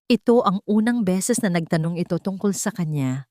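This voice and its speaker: Woman reading a romance story, lowish voice, really expressive pitch, dry close sound. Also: normal speed, no room sound. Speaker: story narrator. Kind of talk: dramatic scripted romance narration.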